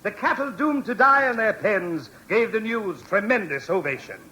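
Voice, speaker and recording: An actor playing a pompous, florid street poet who declaims grandly with flowery diction in a 1940s radio comedy. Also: male voice, high-pitched, expressive, moderate pace, sharp tone. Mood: declamatory. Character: pompous, florid hammy street poet Falstaff, bard of the Bowery. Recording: faintly noisy vintage radio recording.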